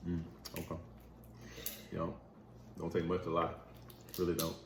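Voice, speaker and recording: A man's voice: deep voice, monotone, normal speed. A young man from Mississippi with a Southern accent, chatting casually and teasing while eating on camera. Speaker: mukbang co-host. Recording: echoey room with clear noise.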